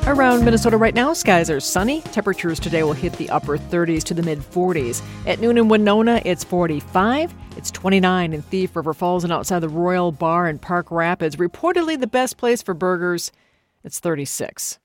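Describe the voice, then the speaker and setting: A woman's voice: on the low side, expressive, fairly quick, soft background music. A woman with an American accent presenting scripted local news and weather on the radio.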